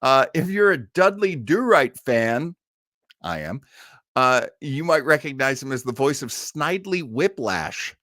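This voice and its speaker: A man's voice: medium pitch, very animated, unhurried, sharp tone, dry recording. Male host, mature, mid-range voice, chatty fan energy, informal live delivery, very dry sound. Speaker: host of an online listening party. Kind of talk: casual live chat introducing a radio cast.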